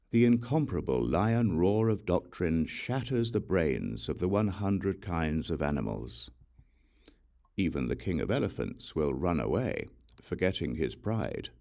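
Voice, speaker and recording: A man with a low, gravelly voice reads spiritual verse for an audiobook. He takes his time, setting short phrases apart with deliberate pauses. His pitch moves expressively within that deep register. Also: mellow. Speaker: audiobook reader. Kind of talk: slow, measured reading of spiritual verse.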